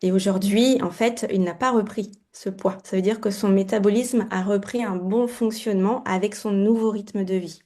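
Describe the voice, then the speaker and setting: Hesitant woman in her forties, mellow tone. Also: medium pitch, fairly even intonation, normal speed, few pauses. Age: 40s. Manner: hesitant, many fillers. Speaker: guest client giving testimony. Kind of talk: testimony on a video call. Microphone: video call mic.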